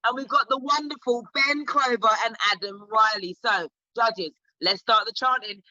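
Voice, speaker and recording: Sweary, bright-voiced woman hosting. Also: on the high side, steady tone, normal speed, dry recording. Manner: teasing, sweary, calls everyone babe. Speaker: host. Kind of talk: casual banter hosting. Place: home, on a video call. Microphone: computer mic on a video call. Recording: dull, dry video-call audio.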